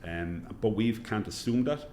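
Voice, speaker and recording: A middle-aged man with a Cork Irish accent, answering interview questions as a hospital chief executive in a measured way, repeating phrases for emphasis. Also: on the low side, expressive, mellow, a little hiss or hum. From Cork, Ireland.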